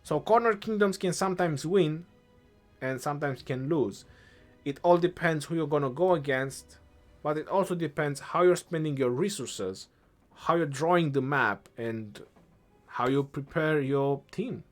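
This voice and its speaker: A young man with an Eastern European accent, answering chat questions on a game live stream in a relaxed way, thinking aloud as he goes. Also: fairly high pitch, a little slow, faint music behind.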